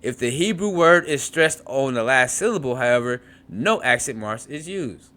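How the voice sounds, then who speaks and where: A man's voice: mid-range voice, lively intonation, normal speed, bright, forceful tone. A man teaching a beginners' Hebrew class, reading from a textbook and breaking off to explain, repeating words aloud to model their sounds. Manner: repeats words to model pronunciation, frequent restarts and asides.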